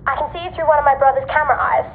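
A woman's voice: high-pitched, fairly even intonation, racing, narrow, tinny audio. A woman playing a cheeky, scatterbrained girl tech helper in a sci-fi audio drama, speaking playfully through a radio intercom filter. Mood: helpful, brisk. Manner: playful, flippant. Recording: thin radio line, noisy, long reverb.

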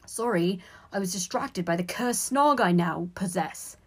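A woman's voice: medium pitch, animated, measured pace, slightly rough. A flustered teenage boy from a storybook, as a woman narrator reads him. He is sheepish and frustrated, speaking hesitantly and getting defensive. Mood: distracted.

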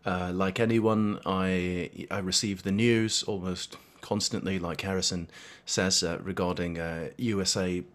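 A man from Argentina, a guest in an interview, answering in a measured, reflective way with frequent hesitations. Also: deep voice, steady tone, a bit bright.

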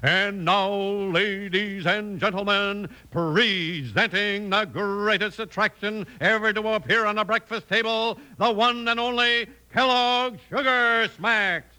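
Man putting on a high, grandiose circus ringmaster. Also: fairly even intonation, pushed and bright, smooth, full character voice, low-quality recording. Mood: grand, proclaiming. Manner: pompous, drawn-out proclaiming. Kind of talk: circus barker announcing in a radio ad.

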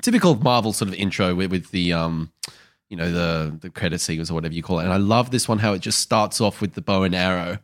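A man's voice: deep voice, fast. A man co-hosting a casual film review podcast, talking analytically and feeling his way to his phrasing.

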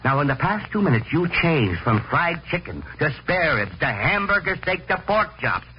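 Exasperated middle-aged father, 1940s radio comedy, highish voice, sarcastic and fussy, faint hiss behind. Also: very animated, moderate pace. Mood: stern, exasperated. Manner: sarcastic, sighing, fussily emphatic. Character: exasperated middle-aged father, long-suffering, easily flustered. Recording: muffled, hissy 1940s radio broadcast.

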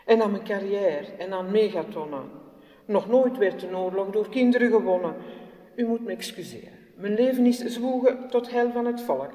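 A woman voicing a busy, pompous male statesman who loudly brushes someone off, recorded in an echoey hall from a distance. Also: mid-range voice, normal speed, close to an everyday voice. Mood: dismissive. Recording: echoey hall, voice far from mic.

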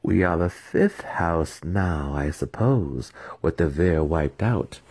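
A man playing a bitter young male dark elf apprentice, sneering with sarcasm and resentment in an audiobook. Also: sits low, expressive, slow, slightly rough, heavily acted. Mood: smug sarcasm.

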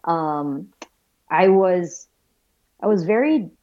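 Woman, 30s, from Malaysia, low pitch, reflective, self-deprecating, many fillers, muffled recording. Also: animated, very slow. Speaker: guest, visual storyteller. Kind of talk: casual conversational interview. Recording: dull lo-fi sound.

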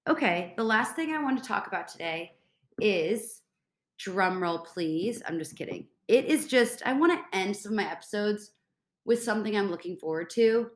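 A woman's voice: mid-range voice, animated, moderate pace, echoey room. A congested young woman hosting a casual solo podcast, bubbly and rambling, filling gaps with "like". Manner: bubbly, rambling, says like a lot. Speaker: podcast host.

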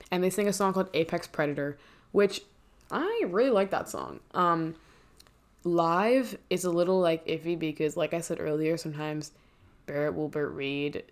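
A woman giving a casual, chatty recap of a stage musical, full of "like" fillers and quick self-corrections. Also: deep voice. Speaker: musical theater fan recapping a show.

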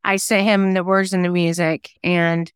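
A woman talks casually as a singer-songwriter being interviewed. Her voice is exceptionally smooth and clear, a little low, and recorded dry and close. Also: level tone, unhurried, dry recording.

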